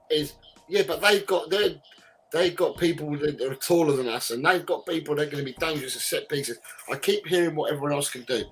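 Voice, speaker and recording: A male podcast host running a relaxed panel chat over a video call. He is emphatic and likes to repeat his points for weight. His voice sits a little higher than most men's. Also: low-quality recording.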